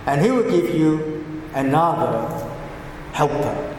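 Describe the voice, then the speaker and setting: A pastor with a Cantonese accent preaching a sermon, slowly explaining words one by one. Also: male voice, a little high, very animated, a little mellow, a little hiss or hum. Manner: slow, deliberate, explaining word by word. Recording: echoey hall with faint ambience.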